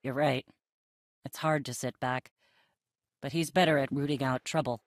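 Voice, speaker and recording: A woman's voice: very deep voice, fairly even intonation, normal speed, dry recording. An actress playing a tough, dry-witted female Mandalorian leader in a video game, assured and wry. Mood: thoughtful. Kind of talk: video game cutscene acting.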